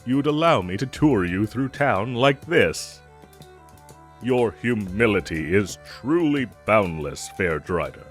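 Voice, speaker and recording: A gallant fantasy knight, acted by a man, speaks in grand, old-fashioned phrases with a playful edge. His pitch swings expressively and his delivery is unhurried, in the manner of an audio roleplay. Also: expressive, faint music behind. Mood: courteous, gentle. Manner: formal, archaic, grandiose phrasing. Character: gallant, theatrical knight, honorable with a playful teasing streak.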